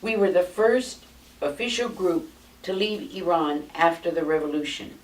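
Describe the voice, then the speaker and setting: An American woman in her eighties reads her own life story aloud from notes in a measured, steady way, seated in a small indoor room. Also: on the low side. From United States. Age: 80s. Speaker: memoir narrator. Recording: roomy sound from a distant mic.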